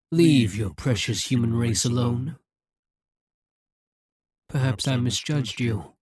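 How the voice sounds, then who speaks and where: Husky, domineering demon monarch, voiced by an actor. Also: medium pitch, darkish tone, no echo. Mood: exasperated, taunting. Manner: menacing, deliberate, taunting, theatrical. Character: domineering demon monarch, scheming, smug, coldly seductive captor. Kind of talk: dramatic ASMR roleplay monologue.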